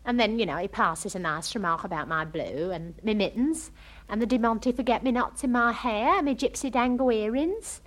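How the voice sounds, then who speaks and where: A woman's voice: a little high, normal speed, close to an everyday voice. An actress playing a chatty, romantic young English woman with a working-class accent, gossiping in a comic monologue. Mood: coy, pleased. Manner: gossipy, dramatic storytelling, quoting others.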